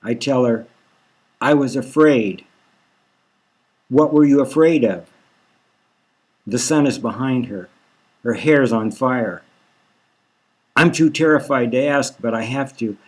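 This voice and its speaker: A man narrates dark, satirical fiction in the first person at a very slow pace. His mid-pitched voice moves in a halting, brooding way, with dramatic weight given to short phrases. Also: rough recording. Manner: brooding, halting delivery with rhetorical questions. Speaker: author reading his own novel.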